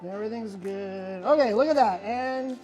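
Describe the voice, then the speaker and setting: Playful woman with a mellow, soft-edged voice. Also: medium pitch, normal speed, muffled recording, faint music behind. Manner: jokey, playful, repeats phrases. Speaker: craft segment host. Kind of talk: casual, unscripted craft demonstration.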